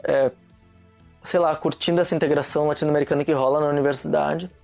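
A young man with a mellow, slightly raised voice tells his stories at an easy pace. He talks loosely and in slang, the way people do with a friend. Faint music plays behind him. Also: on the high side, fairly even intonation, fast talker, gravelly. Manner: easygoing storyteller, slangy, laughs often. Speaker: guest. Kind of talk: casual chat.

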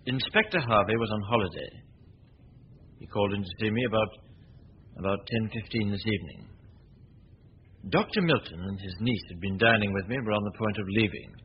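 Actor as composed low-voiced gentleman detective, muffled recording. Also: brisk, long pauses, close to an everyday voice. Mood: calm, explaining. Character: composed, courteous gentleman amateur detective, man. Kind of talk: 1940s radio mystery acting. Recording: noisy, muffled old radio drama recording.

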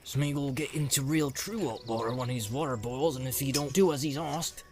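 A man's voice: sits high, sing-song, normal speed, music in the background, pitched above the performer's own voice. A man voicing a plain-spoken, stubborn, practical hobbit servant with homely, firm phrasing in a storybook reading. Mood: coaxing, stern. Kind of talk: dramatised audiobook character voice.

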